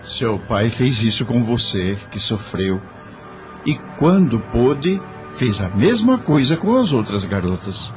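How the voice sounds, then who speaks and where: Slow, grave judging man played by a man. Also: mid-range voice, very animated, soft background music, voice pushed down. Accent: Brazilian.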